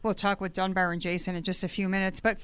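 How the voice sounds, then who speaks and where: Brief: female radio host, chatty and punning, smooth clear voice, close and dry studio mic. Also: medium pitch, flat delivery, quick pace, a bit bright, dry recording. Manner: chatty, punning, laughs often. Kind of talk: casual radio interview. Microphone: studio microphone.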